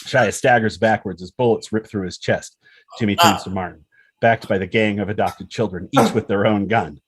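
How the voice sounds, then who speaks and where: Low, husky male screenplay narrator. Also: fairly even intonation, normal speed, dry recording. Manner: punchy, present-tense action reading. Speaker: stage-direction narrator. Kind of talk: dramatic scripted narration at a table read.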